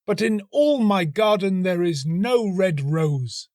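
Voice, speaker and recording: Storybook young student, acted by a man, lovelorn, high-pitched, slow, plaintive, melodramatic, despairing. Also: animated, darkish tone, dry recording, studio quality. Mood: despairing, tearful. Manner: plaintive, melodramatic, drawn-out sighing delivery. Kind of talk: storybook reading.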